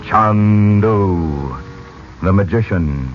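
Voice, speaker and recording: A man announcing in a portentous, dramatic 1940s radio style. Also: sits low. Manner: slow, portentous. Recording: muffled vintage radio, music behind.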